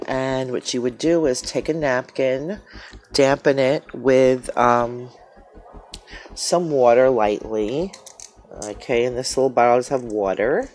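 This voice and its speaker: A woman with a congested, nasal voice from a cold, chatting informally and a little ramblingly as she presents a hands-on craft unboxing. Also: extremely low pitch. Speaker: craft vlogger. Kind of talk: casual hands-on unboxing chat. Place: indoors at a craft table.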